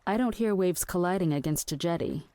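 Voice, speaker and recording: A woman's voice: lowish voice, a little fast, mellow, no room sound, close to an everyday voice. An actress playing a dreamy, fatalistic woman in an audio play, with a wistful and understated way of talking. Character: melancholy, dreamy, fatalistic woman on a ship at night. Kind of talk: theatrical audio play acting.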